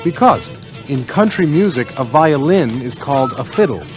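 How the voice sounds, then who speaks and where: Man playing an animated American road-trip companion. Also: medium pitch, moderate pace, over music, close to an everyday voice. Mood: friendly. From Northeast United States. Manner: explanatory, upbeat. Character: friendly American male driver explaining local expressions. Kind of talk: scripted English-learning radio drama.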